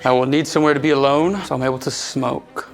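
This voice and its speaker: Audio drama lead: an actor as a cold, sarcastic duke, rough fry in the voice, faint music behind. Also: male voice, mid-range voice, fairly even intonation, fast, lightly acted. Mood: scornful. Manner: dry, cutting and dismissive. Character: cold, sardonic male duke, aloof and blunt.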